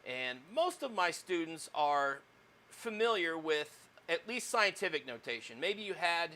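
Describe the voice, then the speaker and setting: A man lecturing on electronics with a loud voice that fills the room, pitched a little above the usual male range. He is recorded on a clip-on mic. He keeps checking in with his students as he talks and fills his pauses with lots of ums and uhs. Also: very animated, a little hiss or hum. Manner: loud projecting voice, says he yells; frequent fillers and tag questions. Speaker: college electronics instructor. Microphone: lapel mic.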